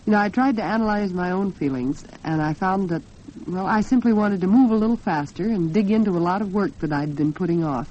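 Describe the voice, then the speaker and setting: A woman's voice: fairly low pitch, brisk, dark tone. An American woman hosting a 1940s radio talk program, speaking conversationally and straight to her listeners. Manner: conversational, addresses listeners directly. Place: radio studio. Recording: muffled, noisy 1940s radio broadcast recording.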